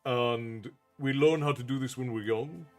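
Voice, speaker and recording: A man's voice: mid-range voice, unhurried, a little mellow. Brief: man in his forties, Americanized Southern Irish accent, folksy stream host with faint music behind. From Ireland. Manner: folksy, thanks chat by name, calls viewers "love". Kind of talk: casual livestream chat.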